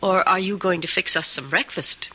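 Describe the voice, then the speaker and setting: A woman's voice: on the low side, normal speed, sharp tone, breathy edge, poor audio. An actress playing a domineering, manipulative woman houseguest with a commanding, patronizing manner, in old-time radio drama style. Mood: bossy, impatient. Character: domineering, bossy woman houseguest, coolly manipulative, quick to anger.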